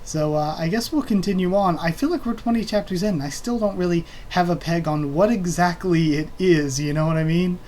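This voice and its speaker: Chatty host talking about a manga into a desk mic, stops and restarts a lot, middle-pitched voice. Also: fairly quick, hardly any pauses, darkish tone. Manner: rambling, many fillers and self-corrections. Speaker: manga read-through host. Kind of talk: casual solo read-through commentary. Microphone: desk microphone.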